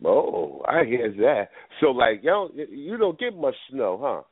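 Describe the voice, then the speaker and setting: A man's voice: medium pitch, sing-song, slow, breathy edge, no echo. A man hosting a call-in radio show, rambling casually with lots of fillers, fighting a cold. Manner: rambling, thinks aloud with many fillers. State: battling a cold. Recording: tinny phone line, dry.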